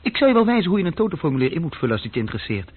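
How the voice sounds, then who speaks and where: An actor playing a bored, self-deprecating young male bookkeeping clerk in a radio drama, speaking haltingly. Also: fairly high pitch, sing-song, slightly rough. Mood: confiding. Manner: halting, searching for words. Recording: muffled old radio recording.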